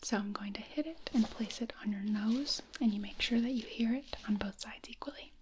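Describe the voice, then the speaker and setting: A woman doing an ASMR medical roleplay as a doctor, mostly whispering breathily and softly, up close to a binaural microphone. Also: a little high, fairly quick, noisy background. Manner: soft, up-close personal attention. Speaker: ASMR roleplayer playing a doctor giving an exam.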